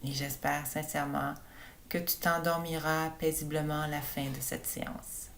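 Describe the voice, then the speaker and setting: A woman with a low voice leads a guided relaxation for sleep. She gives her instructions slowly and soothingly, like a calm yoga teacher. A faint low rumble sits behind her. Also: vocal fry. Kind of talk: guided meditation narration for sleep.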